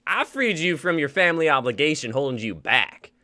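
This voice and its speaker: A man's voice: a little high, big pitch swings, brisk, pushed and bright. A man voicing Ringo, a cocky outlaw who swears and drawls.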